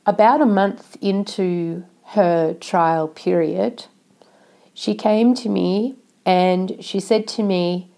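A middle-aged woman from Australia hosting a solo podcast, sounding nasal from a cold, talking in a measured, explanatory way. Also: medium pitch, fairly even intonation, gravelly, warm tone. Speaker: podcast host.

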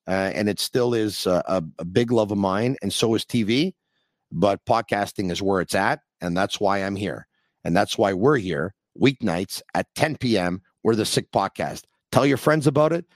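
A man hosting a sports call-in podcast, chatting in a rambling, animated way. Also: fairly low pitch, normal speed, no echo, clean studio sound. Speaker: podcast host. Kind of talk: casual call-in show chat.

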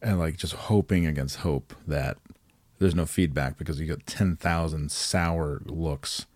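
A man's voice: extremely low pitch, a little slow, a little mellow, no room sound. A man co-hosting a podcast in casual chat, joking, laughing often and swearing. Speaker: podcast co-host.

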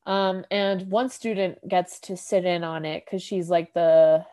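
A woman's voice: fairly low pitch, level tone, a little slow, dark tone. A woman podcast host casually recapping a TV episode, rambling with lots of "like" fillers. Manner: rambling, heavy use of "like", laughs often.

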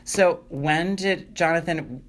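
A man from Wisconsin co-hosting a podcast, chatting casually with a teasing, deadpan dark humor. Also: a little high, slow. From Wisconsin, USA. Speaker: podcast co-host. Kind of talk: casual chat.

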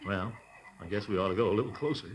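A man's voice: on the low side, fast, muffled recording, natural voice. An actor playing a pompous middle-aged uncle who hides his fear behind hesitant bluster, in old-time radio comedy style. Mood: uneasy bravado. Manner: pompous bluster, hesitant stammering, nervous chuckles. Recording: noisy vintage radio recording.

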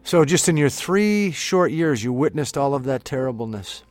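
A man conducting a conversational interview in a halting, tentative and careful manner. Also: mid-range voice, lively intonation, a little mellow, soft background music.

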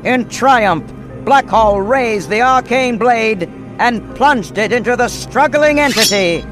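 Radio mystery narrator, raised pitch, dramatic scripted storytelling, suspenseful, music behind. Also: male voice, takes it slowly.

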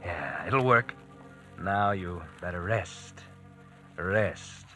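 An actor narrating a tense suspense thriller in old-time radio style, insistently addressing the hero. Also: male voice, low voice, drawn-out words, bright, forceful tone, exaggerated voice. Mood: insistent, taunting. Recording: vintage radio recording with dramatic music.

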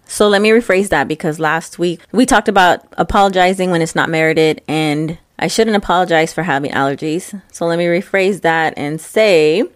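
A woman's voice: fairly low pitch, moderate pace, few pauses, dry recording. A young woman hosting her own podcast in loose, rambling chat, stuffed up from allergies, her breathing close on the mic. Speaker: podcast host. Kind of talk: casual solo podcast chat. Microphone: close mic, breathing audible.

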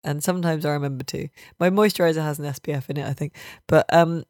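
A woman's voice: deep voice, steady tone, quick pace, darkish tone, no room sound. British woman hosting a casual podcast, dry close sound. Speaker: podcast host and games journalist. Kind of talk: casual chatty podcast banter.

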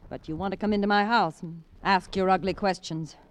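A woman's voice: mid-range voice, fairly even intonation, fairly quick, close to an everyday voice. A woman playing a bitter, guarded, sardonic wife in an old-time radio crime drama, weary and cutting in manner. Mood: hostile, sardonic.

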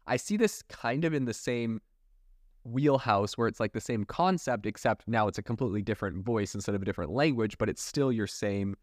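Male podcast host, lowish pitch, enthusiastic, conversational tech commentary, dry studio-like sound. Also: expressive, brisk, no echo.

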